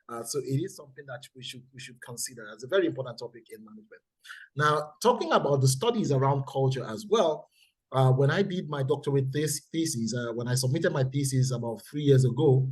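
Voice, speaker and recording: Nigerian man presenting, husky voice. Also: medium pitch, darkish tone, rough recording. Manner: deliberate, repeats key points for emphasis. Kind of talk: webinar presentation. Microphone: video call.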